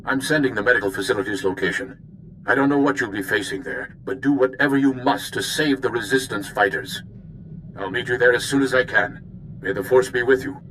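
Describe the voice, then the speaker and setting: A man playing a measured Republic diplomat. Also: medium pitch, fairly bright tone, a little hiss or hum, natural voice, poor audio. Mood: calm briefing. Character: earnest male Republic diplomat, calm and measured. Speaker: mission contact. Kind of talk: video game voice acting. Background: music.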